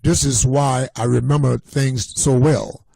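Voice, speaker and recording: A man reads the part of a kindly storybook grandfather, patient and encouraging. The voice is mellow and lifted a little, with an unhurried, gentle delivery meant for a child. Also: mid-range voice, level tone, creaky, no room sound, rough recording.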